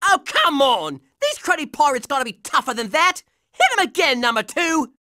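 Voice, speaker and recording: A woman's voice: sits high, big pitch swings, normal speed, bright, forceful tone, no echo, studio quality, exaggerated voice. A woman voicing a brash, cocky kid fighter in a cartoon video game, pushy and slangy. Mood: impatient, eager.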